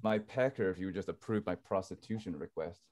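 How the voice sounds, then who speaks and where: Man acting a low-voiced grumbling space engineer. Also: a little fast, a little mellow, dry recording, clean studio sound, natural voice. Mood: dry, low-key. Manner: gruff, sour, foul-mouthed. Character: crude, grumbling male senior engineer on space colony. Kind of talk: screenplay table read.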